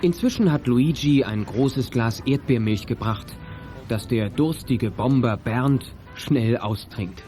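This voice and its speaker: A man's voice: medium pitch, slow, warm tone, lightly acted. A man narrating a youth detective radio play in scripted storytelling style. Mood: neutral storytelling. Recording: music under studio narration. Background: music.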